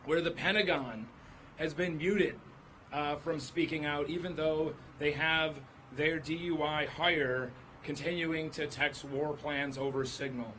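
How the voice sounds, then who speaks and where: A hoarse man, a state senator, speaking at an outdoor press conference, stacking up a long list of grievances. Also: on the high side, a bit bright, a little hiss or hum, poor audio. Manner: building lists of grievances.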